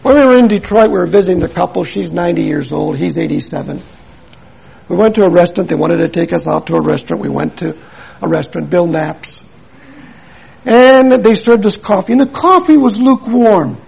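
A man's voice: high-pitched, lively intonation, fairly quick, creaky, a little mellow, breathy edge, low-quality recording. An older man from Milwaukee preaching a sermon in an earnest, emphatic way, repeating his points and telling stories. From Milwaukee, USA.

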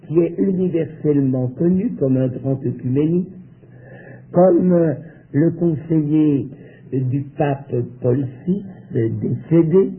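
Male preacher, unhurried sermon delivery built on pauses and rhetorical questions, thin lo-fi phone sound. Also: on the high side. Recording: tinny phone-like line.

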